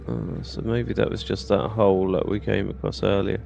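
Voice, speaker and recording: A man's voice: fairly low pitch, flat delivery, normal speed, vocal fry, darkish tone, lo-fi sound. A British man giving casual gameplay commentary, musing and thinking aloud in questions as he plays. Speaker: gamer, let's play host. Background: faint game music and sounds.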